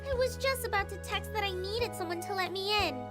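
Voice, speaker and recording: A cute young anime girl, flustered and defensive. Her voice is extremely high and childlike, with game music clearly behind it. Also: brisk. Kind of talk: anime visual novel voice acting.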